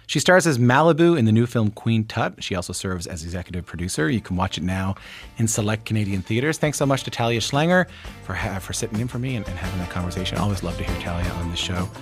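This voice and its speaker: A man hosting a podcast, reading a relaxed, conversational outro. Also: on the low side, fast talker. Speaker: podcast host. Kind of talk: scripted outro narration. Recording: guitar music underneath.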